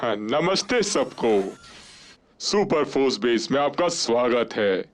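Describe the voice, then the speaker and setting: A man voicing a genial chief of a secret superhero base in a dubbed cartoon, formal, measured and explanatory. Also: mid-range voice, big pitch swings. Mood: welcoming. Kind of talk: dubbed animated cartoon acting. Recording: music behind the voice.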